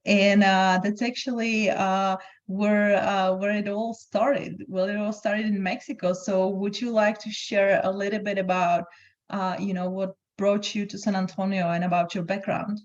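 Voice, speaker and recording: Flat-toned female interviewer, lo-fi sound. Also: medium pitch, a little slow. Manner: casual, friendly, with "like" and "you know" fillers. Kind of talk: interview introduction.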